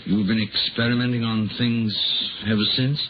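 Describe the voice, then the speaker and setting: A man's voice: medium pitch, steady tone, moderate pace, natural voice. A man playing a troubled, devout minister in a 1950s radio drama, hesitant and grave. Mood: wary questioning. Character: troubled, devout male minister, loyal friend, morally firm. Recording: scratchy, tinny 1950s radio broadcast, noisy.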